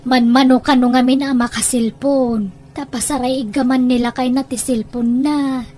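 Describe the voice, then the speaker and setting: A very high-pitched, meek parent acted by a woman in an audio drama. The delivery is hesitant and bashful, with a Filipino accent. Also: steady tone, normal speed, warm tone, soft background music. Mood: reluctant. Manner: hesitant, demurring. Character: meek, embarrassed parent, reluctant to ask for money. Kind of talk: Ilocano audio drama acting.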